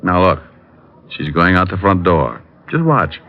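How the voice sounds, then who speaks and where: Male private eye, low voice, animated swings, flippant needler; vintage radio drama, dull recording. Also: a little slow, a little hiss or hum, heavily acted. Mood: wry, playful. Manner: flippant, teasing, breezy. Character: wisecracking private detective who loves needling people. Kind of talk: 1940s-50s radio detective acting.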